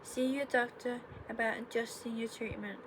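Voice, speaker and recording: A woman's voice: fairly high pitch, steady tone, normal speed, fairly bright tone. A young woman, a bit congested, chatting casually in her bedroom, rambling and correcting herself with sarcastic asides. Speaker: vlogger. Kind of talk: casual explainer vlog. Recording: noticeable room noise.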